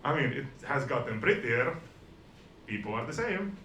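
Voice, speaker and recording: A man's voice: medium pitch, big pitch swings, normal speed, pushed and bright, echoey room, slight background noise. Dry, self-deprecating man in his forties. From Estonia. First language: Russian and Estonian. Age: 40s. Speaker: stand-up comedian. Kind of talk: stand-up comedy set.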